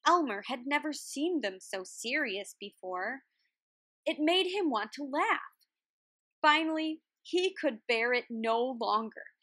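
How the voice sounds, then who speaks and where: A woman reading a children's picture book aloud in an expressive, deliberate way, like a teacher. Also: high voice, dry recording. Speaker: read-aloud storyteller, art teacher.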